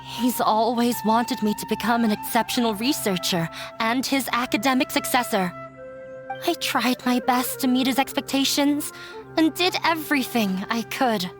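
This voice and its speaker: An actress voicing a young woman who ran away from a demanding father, speaking in a quiet, resigned way. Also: fairly high pitch, normal speed, close to an everyday voice. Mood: bitter. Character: young woman, runaway daughter, hurt and weary. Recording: game audio with faint music.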